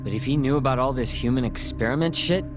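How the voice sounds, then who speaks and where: A man's voice: medium pitch, fast, close to an everyday voice. A man acting a blunt, skeptical, hot-tempered character in a video game crime drama, talking casually and confrontationally. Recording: loud music behind the voice.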